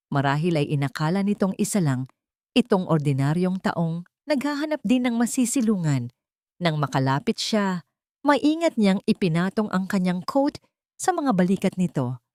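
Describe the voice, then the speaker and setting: Low-voiced woman narrating a dramatic story. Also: warm tone, no room sound, clean studio sound.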